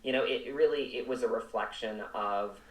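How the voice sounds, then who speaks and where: A man's voice: mid-range voice, fairly quick. Earnest man in an echoey room. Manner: earnest, reflective. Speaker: actor, interview guest. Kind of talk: conversational interview. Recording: echoey room, distant from mic.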